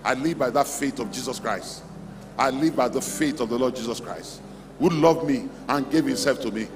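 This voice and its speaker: A man's voice: medium pitch, normal speed, noisy background. A man preaching a charismatic sermon, exhorting his congregation in short, repeated declarations. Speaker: preacher leading a convention. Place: large hall at a church convention. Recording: echoey hall PA, music underneath. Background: music.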